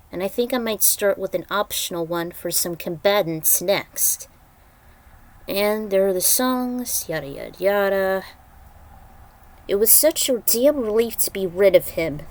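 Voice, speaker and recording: A woman's voice: mid-range voice, a little mellow, poor audio. A woman giving casual, rambling commentary as a fan fiction writer and host, with a blunt, griping manner.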